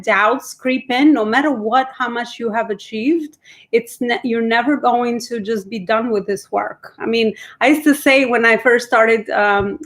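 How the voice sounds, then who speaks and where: Woman hosting an interview podcast. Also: a little high. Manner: enthusiastic, rambling questions with fillers. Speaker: podcast host. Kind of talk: interview conversation.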